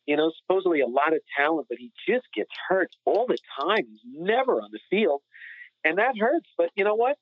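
A man's voice: sits high, sing-song, brisk, no room sound. A man giving emphatic, opinionated analysis in a casual sports podcast discussion. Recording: phone-like narrow-band sound.

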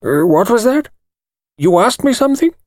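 Elderly British gentleman lawyer: thin, high little voice, precise, musing, storybook mystery. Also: sing-song, no room sound, clean studio sound. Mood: startled, vague. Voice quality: thin, small voice. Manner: precise, hesitant, deliberate.

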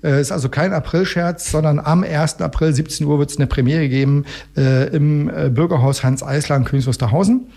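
A middle-aged man with a husky, somewhat raised voice talks casually as a studio guest. He rambles a little and hesitates, slipping in dry understatement as he explains things. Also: fairly high pitch, brisk, mellow. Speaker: radio magazine studio guest and regular contributor. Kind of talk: casual radio studio conversation. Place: radio studio.

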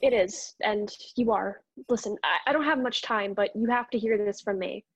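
A woman's voice: mid-range voice, normal speed, breathy edge, dry recording. A woman playing a frank, encouraging younger dream self in a radio drama, speaking directly and insistently. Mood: frank, urgent.

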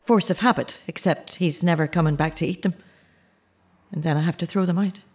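An actress playing a fragile, grieving middle-aged mother in a naturalistic audio drama, speaking in a halting, confessional way. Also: on the low side, a little mellow, slightly rough. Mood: grieving, restrained. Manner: halting, self-correcting, confessional. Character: grieving mother of a murdered teenage son, fragile.

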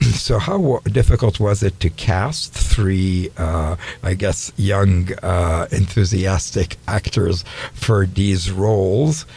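A middle-aged man hosting a radio interview show from a studio, speaking in a friendly, unhurried way. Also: low voice, a little mellow, a little hiss or hum.

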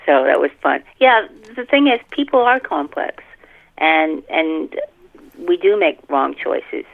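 A woman's voice: low voice, very animated, unhurried, creaky. A woman author chatting as an interview guest, wry and emphatic, repeating phrases, heard over a phone line. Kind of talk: casual interview chat. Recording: narrow, tinny phone line.

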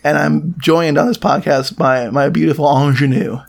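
A young man with a gravelly, slightly hoarse voice, a little higher than most men, co-hosting a comedy podcast. He banters loosely and teases his co-host in a goofy, playful way. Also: fairly even intonation, normal speed. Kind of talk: joking casual banter.

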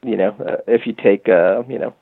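A man's voice: lowish voice, fairly even intonation, moderate pace, vocal fry, no echo. A man guest explaining a product in a casual podcast interview, talking at length with many fillers and restarts. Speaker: guest from a music marketing company. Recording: tinny phone-line audio.

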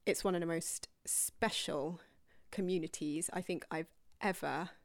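Chatty woman rambling with soft asides. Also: lowish voice, fairly even intonation, a little slow, dry recording, studio quality. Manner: rambling, warm and candid, with soft breathy asides. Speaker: yoga teacher. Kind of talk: casual personal storytelling to viewers.

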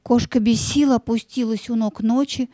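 A woman narrating a fairy-tale audio play in an expressive, theatrical storytelling manner. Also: a little high, moderate pace, no echo, clean studio sound.

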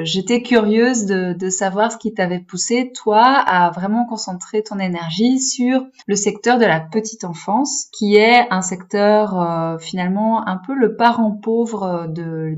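A woman's voice: medium pitch, lo-fi sound. A woman hosting a podcast interview, asking questions with many fillers and restarts. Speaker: podcast host.

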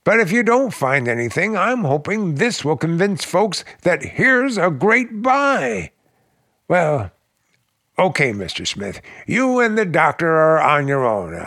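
High-pitched, emphatic 1940s real estate agent. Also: male voice, sing-song, unhurried, bright, forceful tone, no room sound, clean studio sound, full character voice. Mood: practical. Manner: matter-of-fact, emphatic storyteller. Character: plain-spoken, practical male real estate agent. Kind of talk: 1940s radio acting.

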